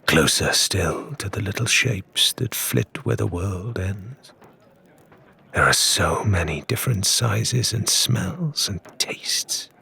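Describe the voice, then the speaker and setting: A sinister, hungry creature in an audiobook. It speaks in a low, breathy whisper, slow and menacing. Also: male voice, animated, sharp tone, heavily acted. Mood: hungry menace. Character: sinister hungry unseen creature anticipating a feast.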